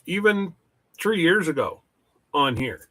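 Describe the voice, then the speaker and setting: A man hosting a casual rambling chat, talking slowly with many pauses and restarts, his voice stuffed up from hay fever. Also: a little high, sing-song, fairly bright tone, no room sound, rough recording. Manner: slow, halting, with many restarts. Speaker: channel host. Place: workshop.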